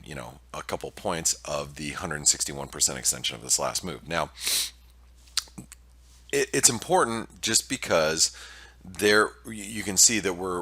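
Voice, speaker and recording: A man's voice: very deep voice, pushed and bright. A man with a nasal, stuffed-up voice from allergies, giving casual market commentary over a shared screen, full of fillers and restarts. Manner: hesitant, many fillers and restarts. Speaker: market analyst presenting weekly preview.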